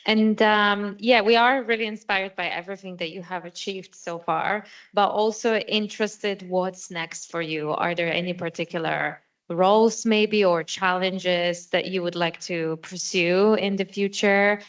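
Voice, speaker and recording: A woman's voice: medium pitch, steady tone, a little slow, hardly any pauses. A woman hosting an interview, asking questions in a polite, encouraging way. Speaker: event host, interviewer.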